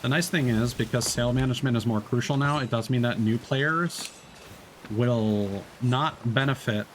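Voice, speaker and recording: A man's voice: mid-range voice, moderate pace, a little hiss or hum. A man streaming a game, talking casually with lots of fillers and restarts. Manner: rambling, many fillers and restarts. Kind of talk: casual gameplay commentary.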